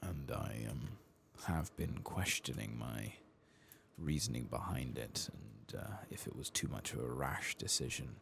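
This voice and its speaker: Man, hushed ASMR gaming host, gravelly creak, expressive swings in pitch, reflective, hesitant, occasional whispering, close mic. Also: a little slow, slight background noise. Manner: hushed, sometimes dropping into whispers. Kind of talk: soft-spoken ASMR game playthrough with casual rambling.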